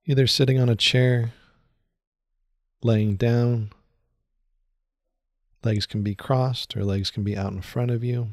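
A man leading a guided meditation in a soothing, unhurried way, speaking in short phrases with long pauses. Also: medium pitch, fairly even intonation, no room sound, clean studio sound.

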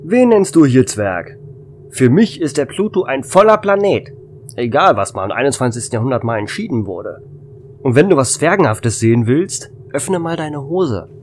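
A man's voice: medium pitch, sing-song, moderate pace, natural voice. A man playing a wry, easygoing space station worker in a German radio play, with a casual, teasing manner. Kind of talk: amateur radio drama acting. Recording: faint noise and hum.

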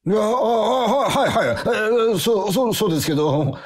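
A man's voice: high-pitched, animated, normal speed, pitched above the performer's own voice. A man acting an exhausted, flustered Osaka father with a Kansai accent in a radio drama. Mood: panicked.

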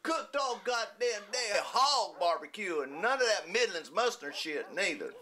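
A man's voice: sits high, very animated, normal speed, bright, forceful tone, full character voice. A man playing a friendly, rambling rural local with a Southern US accent and a folksy, repetitive way of talking in a drama. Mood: emphatic. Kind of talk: acted drama dialogue.

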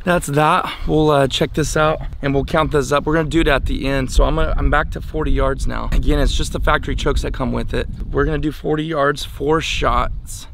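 A man's voice: on the high side, fairly even intonation, a little fast, hardly any pauses, fairly bright tone. An American man in his forties hosting a casual hunting vlog, explaining things plainly and informally outdoors. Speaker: hunting channel host. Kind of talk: casual product test vlog. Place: outdoors in an open dry yard. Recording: clear outdoor ambient noise.

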